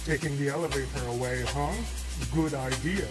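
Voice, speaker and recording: An actor playing an overconfident, blustering elemental god in an audio drama. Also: male voice, medium pitch, steady tone, normal speed, natural voice. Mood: confident. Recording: loud music over the voice.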